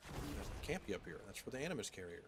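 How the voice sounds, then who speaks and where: A man's voice: on the low side, sing-song, quick pace. A man streaming a video game, muttering to himself in casual commentary as he searches around, mildly puzzled. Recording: noisy background hiss.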